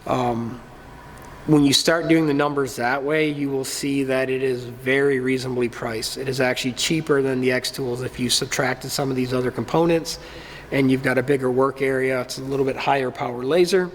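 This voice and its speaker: A man's voice: mid-range voice, fairly even intonation, normal speed, big-room sound, a little hiss or hum. A man getting over a cold gives a casual, plain-spoken review of a machine in his workshop, with lots of ums. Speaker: small-business maker reviewing his laser cutter.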